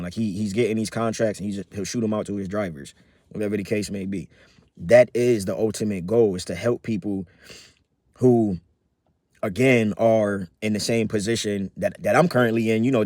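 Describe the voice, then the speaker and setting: A man in his thirties talks through a casual personal update, voice sitting a bit low. He rambles, filling gaps with ums and restarting his sentences, easygoing and upbeat. The sound is close and dry, with no room around it. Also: no room sound. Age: 30s. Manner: rambling, many fillers and restarts; relaxed, upbeat. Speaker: vlogger.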